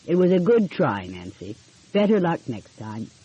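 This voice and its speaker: A woman voicing a kindly, measured middle-aged lawyer father in an audiobook mystery. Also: low voice, very animated, warm tone, breathy edge, dull audio, heavily acted. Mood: consoling.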